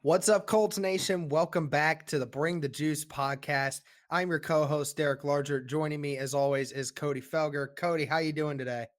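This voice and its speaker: A man co-hosting a casual sports podcast, talking with a sore, strained throat and a relaxed, rambling delivery full of fillers. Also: a little high, moderate pace, few pauses. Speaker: podcast co-host.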